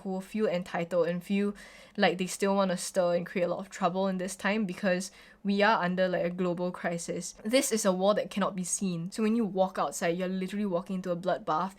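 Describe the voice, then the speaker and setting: A woman in her twenties from Singapore with a Singaporean English accent, chatting casually and opinionatedly in a home vlog, with lots of "like" fillers. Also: fairly low pitch. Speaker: vlogger. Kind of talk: casual get-ready-with-me chat.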